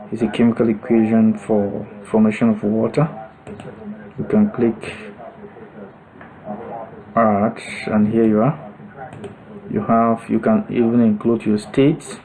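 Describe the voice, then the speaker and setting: Slow, mellow male tutorial instructor. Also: lowish voice, poor audio. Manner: halting, thinking aloud as he goes. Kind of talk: unscripted step-by-step screen demonstration.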